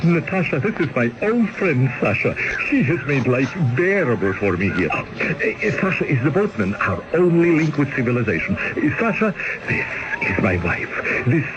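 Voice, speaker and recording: An actor playing an excitable, doting exiled Russian husband in a radio drama, effusive and stammering. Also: male voice, fairly high pitch, normal speed. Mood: eager, joyful. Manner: stammering, effusive, punctuated with eh and huh. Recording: muffled lo-fi radio drama broadcast.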